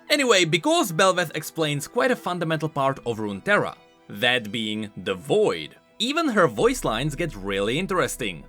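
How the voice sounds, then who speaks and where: A man's voice: a little high, sharp tone. A man hosting a scripted explainer on video game lore, talking informally with emphatic, swooping stresses.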